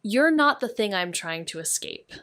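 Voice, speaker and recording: A woman's voice: mid-range voice, animated, moderate pace, fairly bright tone, no room sound, close to an everyday voice. An actress playing a wry, guarded young woman, a former med student, in a naturalistic audio drama with dry, understated delivery. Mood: earnest, weary.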